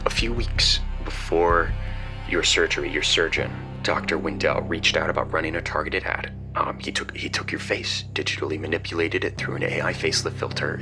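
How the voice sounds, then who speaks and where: A man playing a marketing insider calling in to confess, deliberate and self-justifying, in a scripted drama. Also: low voice, lightly acted. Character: confessional male social media marketing insider. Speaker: caller. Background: music bed.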